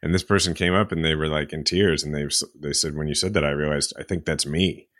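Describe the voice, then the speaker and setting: Deep-voiced man, earnest podcast chat. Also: steady tone, fast, fairly bright tone, no room sound, studio quality. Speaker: podcast co-host and author. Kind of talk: casual chat.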